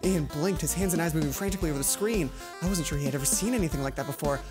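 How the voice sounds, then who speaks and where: Female let's player, lower register, expressive story reader with off-script asides, loud game music underneath. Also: very fast. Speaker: let's play host. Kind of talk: reading a visual novel aloud with asides. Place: indoor gaming commentary recording with loud game music behind.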